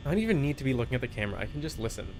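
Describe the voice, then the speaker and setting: A man's voice: medium pitch, big pitch swings, rapid-fire, noisy background. A man streaming a game with casual, playful live commentary, chatting to viewers and to the game's characters.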